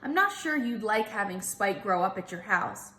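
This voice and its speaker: Storybook farmer female reader: kindly man, gentle, reassuring, folksy, roomy sound. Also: medium pitch, big pitch swings, moderate pace. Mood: gently explaining. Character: kindly adult male farmer, patient, reassuring. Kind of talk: children's storybook character voice.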